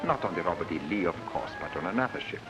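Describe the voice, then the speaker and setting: An actor playing a gloating, contemptuous Nazi agent who narrates a 1940s propaganda film in a clipped, imperious manner. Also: male voice, sits low, expressive, fast. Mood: sneering. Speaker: narrator of a military training film. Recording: muffled 1940s film soundtrack, music behind.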